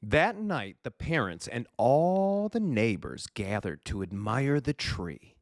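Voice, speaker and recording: A man reads a children's story at an unhurried pace, with very lively, swinging intonation. The recording is close and dry. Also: mid-range voice, no echo. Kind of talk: animated storybook narration.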